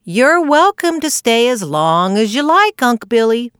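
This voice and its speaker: A woman voicing a cheerful, lively young male squirrel with a high, eager voice. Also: unhurried, clean tone, no room sound, studio quality. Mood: friendly.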